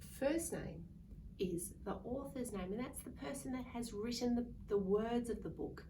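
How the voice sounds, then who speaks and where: A woman's voice: on the high side, normal speed. A woman reading a picture book aloud to young children in a sing-song way, coaxing them to join in. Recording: distant mic with faint background noise.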